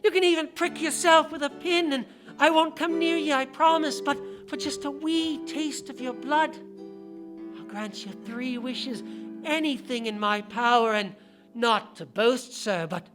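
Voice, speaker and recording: A woman's voice: sits high, measured pace, over music. A woman voicing a tiny male leprechaun vampire with a lilt, wheedling and a bit boastful.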